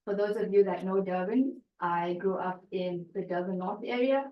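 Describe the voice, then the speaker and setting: A woman's voice: mid-range voice, moderate pace, muffled recording. Woman giving a speech, distant. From South Africa. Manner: storytelling, addressing the audience with questions. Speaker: club speaker. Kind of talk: prepared speech. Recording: echoey room, distant mic.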